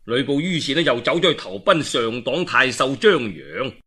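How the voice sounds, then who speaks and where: Cantonese guy telling old historical stories, really lively pitch swings, bit husky. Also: moderate pace. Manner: colloquial, animated, dramatic asides. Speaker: storyteller. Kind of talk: traditional oral storytelling of a historical novel.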